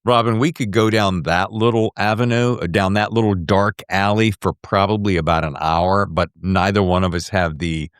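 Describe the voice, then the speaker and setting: A man's voice: low voice, steady tone, moderate pace, hardly any pauses, clean tone, dry recording, studio quality. A man hosting a podcast in a casual, conversational interview style. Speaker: podcast host.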